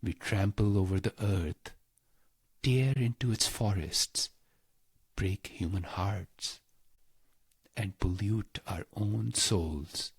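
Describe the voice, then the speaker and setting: A man leading a scripted spiritual guided meditation in a calm, measured, reverent manner with deliberate pauses. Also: on the low side, breathy edge, no room sound.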